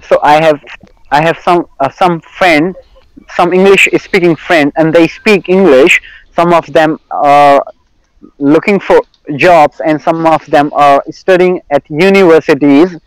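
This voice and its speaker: A fairly high-pitched young man speaks with an Indian accent, his native language being Hindi. He chats as a language learner, halting, restarting and doubling words while he searches for the next one. The sound is close and dry, with little room. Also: clear, resonant tone, no room sound, poor audio. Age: young adult. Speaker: English learner in online conversation. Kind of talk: casual chat.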